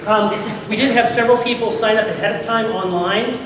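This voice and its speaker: A man's voice: high voice, fairly even intonation, brisk. A man presenting to an audience, giving informal live instructions for a recording session. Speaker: presenter directing an audio drama recording. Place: large convention hall. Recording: echoey noisy hall, distant sound. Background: room noise and ambience.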